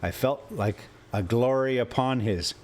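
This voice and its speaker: A man reading a classic adventure story aloud in deliberate, theatrical phrases broken into short clauses. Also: medium pitch, darkish tone. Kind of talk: dramatic audiobook-style narration of an adventure story. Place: inside a moving motorhome.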